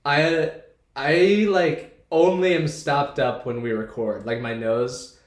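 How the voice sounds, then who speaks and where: A man's voice: fairly high pitch, fairly even intonation, takes it slowly. A young man co-hosting a podcast, chatting in a laid-back, rambling way with a stuffed-up nose. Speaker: podcast co-host. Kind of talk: casual chat. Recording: echoey room.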